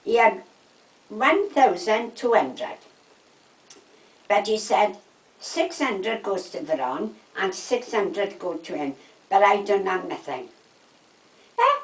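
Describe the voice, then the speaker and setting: Older welsh valleys lady chatting away telling stories, mid pitched, sounds a bit far off in an echoey room. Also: animated, takes it slowly, pauses often. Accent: South Wales Valleys. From Wales. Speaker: storytelling interviewee. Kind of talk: casual chat, personal anecdotes. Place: indoors at a house. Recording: roomy echo, distant microphone.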